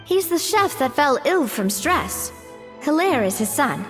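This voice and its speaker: A woman playing a spirited, kindly young lady in an anime game, knowing and expressive. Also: high voice, a little slow, over loud music. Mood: explaining, sympathetic. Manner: animated, expressive.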